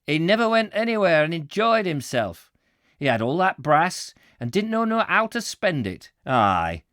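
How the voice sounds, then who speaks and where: A man acts out an older Yorkshire warehouseman from a storybook. He speaks in broad dialect, stubborn and matey, with deliberate, aggressive emphasis. The voice sits high and is recorded dry and close. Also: very animated, fairly bright tone, dry recording. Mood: blunt, reflective. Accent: Yorkshire, put on. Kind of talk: acted dialogue in a book reading.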